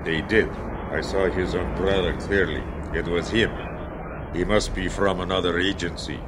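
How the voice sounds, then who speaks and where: A man playing Nikolai, a calm and formal-speaking man who avoids contractions. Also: low-pitched, moderate pace, very noisy, lightly acted. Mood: steady. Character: calm, stiff-spoken man named Nikolai. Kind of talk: amateur audio drama.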